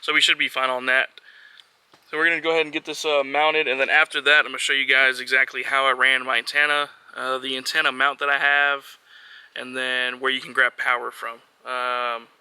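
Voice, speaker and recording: A man's voice: mid-range voice, little pitch movement, fairly quick, pushed and bright, clean tone, no echo, rough recording. A man talking informally as he works through a hands-on installation, chatting to viewers in a casual how-to vlog style and thinking aloud. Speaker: vlogger and trucker showing a DIY install.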